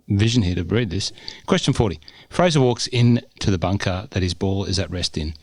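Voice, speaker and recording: A man's voice: low-pitched, no room sound. A man co-hosting a podcast from home, chatty and joking in a casual, upbeat way. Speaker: podcast co-host. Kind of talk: casual chat. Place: home, cold winter day. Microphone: remote call recording.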